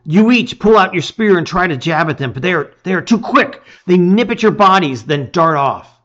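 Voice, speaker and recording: A man's voice: high voice, lively intonation, moderate pace, a bit bright. A middle-aged man giving a dramatic reading of a fantasy adventure, on a slightly dull recording. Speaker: storybook reader.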